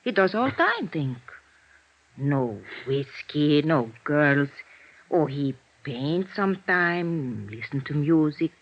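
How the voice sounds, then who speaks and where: Immigrant landlady, Eastern European accent, broken English, slow halting delivery, sympathetic, vintage radio drama, dull lo-fi sound. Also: deep voice, big pitch swings, exaggerated voice. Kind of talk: 1950s radio drama acting. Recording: muffled vintage radio recording.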